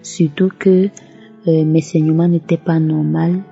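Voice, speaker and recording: A woman's voice: low voice, level tone, unhurried, gravelly, mellow. A devout woman giving a religious testimony and offering thanks. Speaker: testimony giver. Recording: muffled lo-fi audio over music. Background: background music.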